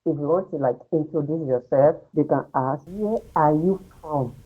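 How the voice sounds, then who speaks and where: A man teaching an online language lesson, patiently explaining slowly over a video call. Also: fairly high pitch. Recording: dull lo-fi video call audio.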